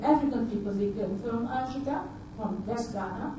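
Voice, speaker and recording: A woman whose first language is Dutch, from Suriname, giving a halting personal talk at a conference, often repeating words and filling pauses with uh. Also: a little high, background noise. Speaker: conference speaker. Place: large conference hall. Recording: echoey hall, voice picked up from far off.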